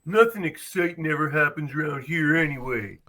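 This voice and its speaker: A man's voice: on the high side, expressive, a little fast, bright, forceful tone. A man reading the part of a bored, wistful thirteen-year-old boy in a script table read.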